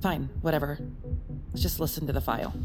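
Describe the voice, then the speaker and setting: A woman playing a knowing, skeptical records keeper in an audio drama, deliberate and pointed. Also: deep voice, steady tone, music in the background, close to an everyday voice. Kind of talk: naturalistic audio drama acting.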